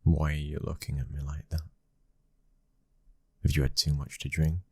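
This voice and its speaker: A man plays a brooding British mafia boss's son in an ASMR romance roleplay. His voice is extremely low and husky, kept close and intimate. He speaks as a protective, devoted husband, often dropping into a whisper. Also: flat delivery, moderate pace, no echo, heavily acted. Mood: puzzled, probing. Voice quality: very deep, breathy, husky. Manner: hushed intimate delivery slipping into whispers.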